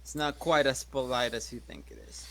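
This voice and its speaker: A man's voice: medium pitch, big pitch swings, moderate pace, gravelly, pushed and bright, slight background noise. A man playing a tabletop game, chatting casually in a blunt, sweary way.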